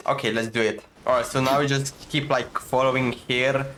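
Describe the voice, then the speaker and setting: A man's voice: mid-range voice, level tone, moderate pace, a bit bright. A man giving casual playthrough commentary as a gaming streamer, musing aloud in an informal, hesitant way. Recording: game music behind. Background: video game music.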